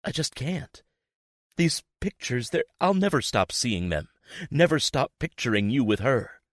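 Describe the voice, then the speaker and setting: A man's voice: medium pitch, very animated, moderate pace, no room sound, studio quality, voice pushed up. A man voicing a bitter, betrayed young woman in an audiobook, sarcastic and accusing, his voice raised well above his own. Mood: hurt. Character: furious betrayed young woman, sharp and scornful. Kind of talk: audiobook character voice.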